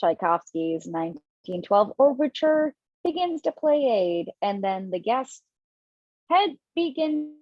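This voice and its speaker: A woman narrating stage directions for an amateur table read over a video call, casual and chatty with little asides. Her voice is mid-pitched, and the sound is dry and somewhat dull, like a lo-fi call. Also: very animated, slow, no echo. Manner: casual, chatty reading with asides and self-corrections.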